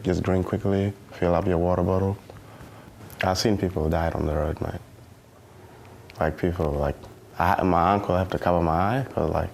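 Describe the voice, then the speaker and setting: Low, flat-voiced young man. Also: vocal fry, slight background noise. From South Sudan. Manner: halting, plain talk with many fillers and restarts. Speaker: documentary interviewee. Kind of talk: personal testimony in a youth documentary.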